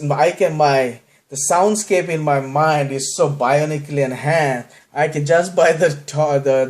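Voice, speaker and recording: A man's voice: on the high side, darkish tone. A man from Bangladesh with a Bangladeshi accent, hosting a podcast in a rambling, self-deprecating monologue that keeps trailing off and restarting. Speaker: podcast host.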